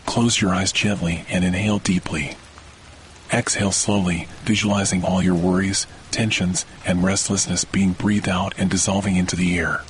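A man narrating a slow, scripted guided sleep meditation in a calm, measured way. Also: sits low, slight background noise, poor audio. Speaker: meditation podcast host. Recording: rainstorm and thunder under the voice. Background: steady rain and thunder.

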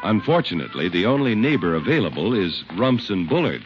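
A man's voice: fairly low pitch, very animated, fairly quick. Old time radio announcer guy, super swoopy delivery, music behind, muffled sound. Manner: polished, emphatic. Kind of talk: 1950s radio announcing and scripted sponsor read. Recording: dull vintage radio recording.

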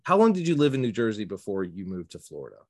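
A man's voice: lowish voice, sing-song, quick pace, darkish tone. A man hosting a podcast in casual interview chat, with polite, joking banter. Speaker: podcast host.

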